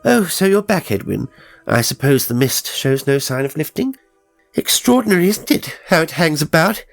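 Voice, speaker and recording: A man voicing an irritable, brisk spinster in a horror story, hectoring and matter-of-fact. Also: on the high side, sing-song, creaky, no room sound, soft background music, voice pushed up. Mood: brisk, annoyed. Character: brisk, irritable, level-headed middle-aged English spinster sister.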